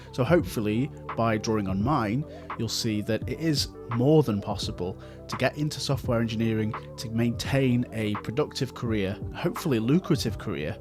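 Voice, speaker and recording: Chatty man with a light Stoke accent. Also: medium pitch. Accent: light Stoke-on-Trent English. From England. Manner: chatty, self-deprecating asides. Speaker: software developer vlogger. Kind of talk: personal storytelling vlog.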